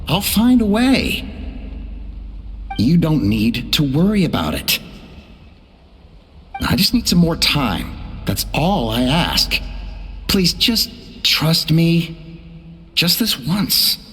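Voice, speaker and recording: Game flashback role: earnest pleading son, slow, higher voice, cavernous reverb. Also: male voice, big pitch swings, a bit bright, exaggerated voice. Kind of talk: video game voice acting. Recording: long echoing reverb, faint noise.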